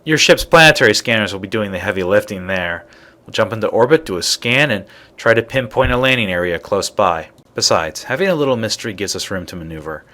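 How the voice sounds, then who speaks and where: A man acting a composed, pragmatic space explorer in a video game, explaining things in a measured way. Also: lowish voice, animated, pushed and bright. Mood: matter-of-fact.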